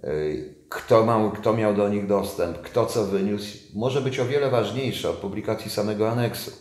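A man's voice: lowish voice, normal speed, darkish tone. A man in his fifties, a former intelligence officer as guest, speaking emphatically and with indignation. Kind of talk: political interview. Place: room with leather sofa, indoors. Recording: echoey room.

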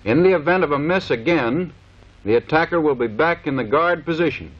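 A man's voice: on the high side, animated, brisk. A man giving scripted, matter-of-fact instructional narration for a training film. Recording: dull lo-fi 1930s film soundtrack.

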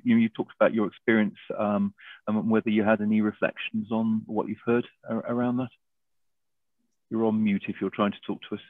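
A man's voice: fairly low pitch, dry recording. A middle-aged man moderating an online panel, genial and lightly joking, through a headset. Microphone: headset on a video call. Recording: narrow phone-like headset sound.

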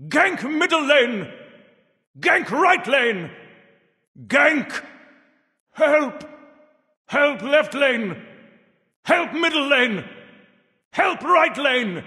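A man's voice: very high-pitched, bright, forceful tone, full character voice. An actor playing a jovial, grandfatherly old Father Time in a video game, theatrical, emphatic and folksy. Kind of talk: video game character voice lines. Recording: long reverberant echo.

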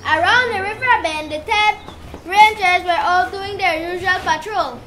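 A woman's voice: very high-pitched, measured pace. A female narrator reading a scripted story for an amateur puppet show. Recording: roomy, noisy live puppet show.

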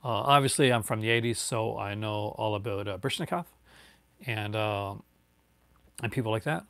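A man's voice: fairly low pitch, normal speed, gravelly, fairly bright tone, dry recording. Rambling man with a light Newfoundland accent. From Newfoundland, Canada. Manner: rambling, many fillers and restarts. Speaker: YouTuber answering viewer chat. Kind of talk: casual live-stream chat. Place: home room with bookshelves, indoors.

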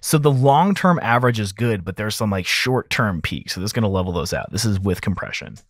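Low-pitched man, casual audio tutorial. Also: lively intonation, a little fast, no echo, studio quality. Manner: informal, conversational, thinking aloud. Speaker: audio engineer giving a mixing tutorial. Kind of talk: casual software tutorial voice-over.

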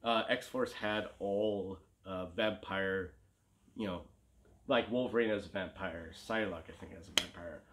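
A man's voice: sits low, measured pace, a bit bright. A man in his thirties chatting casually as a show co-host in a living room, often searching for words. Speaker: co-host of a comic collecting show. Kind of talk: casual chat.

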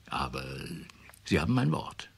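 A man's voice: very deep voice, big pitch swings, moderate pace, gravelly, slightly rough, exaggerated voice. An actor in a radio drama playing an earnest, puzzled researcher, polite and hesitant, repeating his words.

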